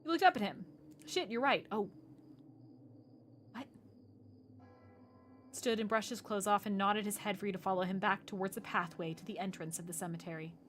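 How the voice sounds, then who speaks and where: A woman streaming a game, reading its text aloud and reacting in an animated, exasperated way, with a hoarse voice. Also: medium pitch, fairly quick, a little hiss or hum.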